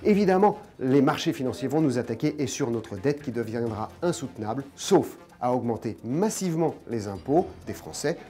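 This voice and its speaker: A man's voice: medium pitch, lively intonation, normal speed, hardly any pauses, warm tone. A man in his forties, an economics journalist giving an emphatic, step-by-step explanation to camera on a lapel mic. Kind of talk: explanatory news commentary to camera.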